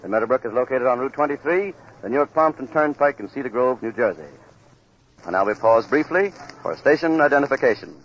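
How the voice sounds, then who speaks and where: A man's voice: mid-range voice, lively intonation, a little fast. An American man announcing a big band broadcast in 1940s radio style, on a muffled, noisy old recording. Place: live remote broadcast from a supper club.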